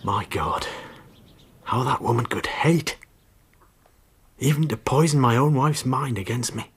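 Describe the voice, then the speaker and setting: A man's voice: mid-range voice, measured pace, husky. An actor in a radio drama playing a bitter, jealous, hard-drinking husband confessing to murder, brooding as he tells it. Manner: brooding, confessional storytelling that breaks into confrontation.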